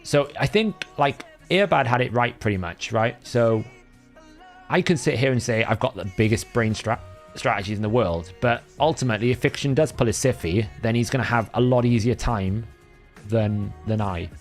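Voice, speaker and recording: A Welsh man whose first language is Welsh hosts a live game stream, thinking aloud in a chatty way and often correcting himself. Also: medium pitch. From Wales. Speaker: livestream host. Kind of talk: casual gameplay commentary.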